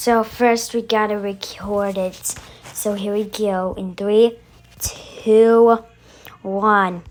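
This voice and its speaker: A woman's voice: mid-range voice, flat delivery, slow, over music. A woman hosting a channel, casually talking through a demo of voice effects. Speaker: channel host.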